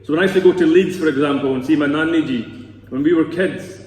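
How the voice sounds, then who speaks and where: A man's voice: on the high side, fast, a little mellow. Earnest Scottish man in echoey hall. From Scotland. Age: 30s. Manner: earnest, rambling, with asides to the audience. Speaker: speaker at a Sikh gurdwara. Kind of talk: personal talk to a congregation. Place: large echoey gurdwara hall. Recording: echoey hall recorded from a distance.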